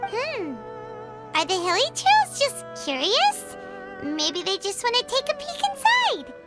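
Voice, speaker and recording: A woman voicing a small, squeaky, excitable and easily spooked childlike fairy companion in a video game. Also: takes it slowly, heavily acted. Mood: curious. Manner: refers to self in third person, exclamatory. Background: music.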